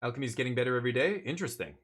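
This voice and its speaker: A man from Canada talks loosely through a live gaming stream, blunt and opinionated. He swears freely and trips over repeated words when he gets worked up. His voice is bright and forward, sitting at an ordinary male pitch. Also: animated, racing. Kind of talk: casual live-stream chat while gaming.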